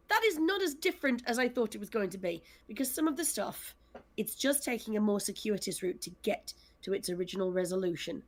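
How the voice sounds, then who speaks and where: A woman speaking casually in a panel discussion as a writer and director, with a wry, self-deprecating manner. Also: fairly high pitch. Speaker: audio drama scriptwriter and director.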